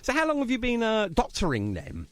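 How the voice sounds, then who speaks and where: Animated, chatty male radio host. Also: high voice, fairly quick, heavy background noise. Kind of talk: casual chatty radio interview.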